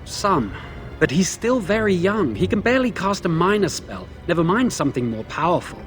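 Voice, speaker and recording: A fairly high, youthful male voice plays an imprisoned mage in a fantasy game. He is earnest, anxious and weighed down by remorse, pleading to be believed. Faint music sits behind the dialogue. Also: very animated, moderate pace. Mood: anxious explaining. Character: anxious young male mage prisoner, guilt-ridden and pleading. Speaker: video game character.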